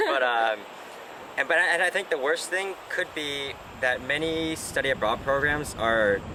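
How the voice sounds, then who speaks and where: A man's voice: on the high side, unhurried. A young man hosting a casual chat, talking hesitantly with lots of fillers and restarts. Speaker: host. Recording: noisy outdoor street.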